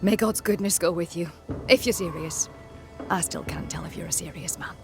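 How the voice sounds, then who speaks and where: A woman's voice: fairly low pitch, normal speed, creaky, over music. An actress playing a flustered, prim, pious colonial townswoman in a video game, fussy and apologetic. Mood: embarrassed, prim. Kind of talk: scripted video game cutscene acting.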